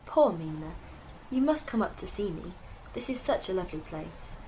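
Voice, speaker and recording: A woman's voice: fairly low pitch, expressive, normal speed, dark tone, lightly acted. A woman playing a genteel, sociable young lady in a radio drama, chatting with admiring affection. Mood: affectionate. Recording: noisy recording with birdsong.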